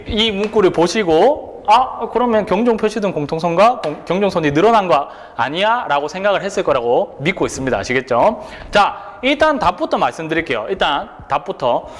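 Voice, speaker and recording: A man's voice: high-pitched, in a large hall. A male instructor giving an exam prep lecture, posing rhetorical questions and checking that students follow along.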